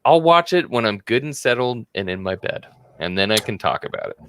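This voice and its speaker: A man's voice: mid-range voice, a little fast, slight background noise. A man in his late twenties co-hosting a podcast, chatting casually and acting out quotes as he tells a story. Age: 20s. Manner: animated storyteller, acts out quotes. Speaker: podcast co-host.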